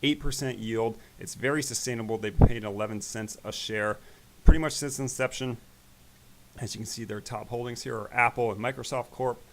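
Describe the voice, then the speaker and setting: A man hosting an investing channel, talking casually through his stock portfolio in a rambling way, his voice growing raspy. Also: medium pitch, normal speed, slight background noise, poor audio. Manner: relaxed, rambling, with fillers. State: voice getting raspy. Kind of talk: casual screen-share stock commentary.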